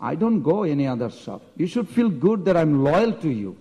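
Man acting a proud, high-pitched haggling boy, echoing hall. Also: big pitch swings, normal speed, mellow. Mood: proud, demanding. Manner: insistent, teasing, provoking. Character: proud, argumentative young Brahmin boy haggling with a merchant. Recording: long echoing hall reverb.

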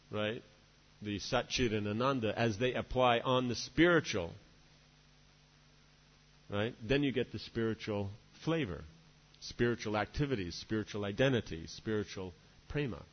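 Man, devotional lecture, rambling asides, long hall reverb, muffled lo-fi sound. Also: mid-range voice. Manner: discursive, many "you know" and "right?" asides. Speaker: spiritual teacher. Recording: dull reverberant hall recording.

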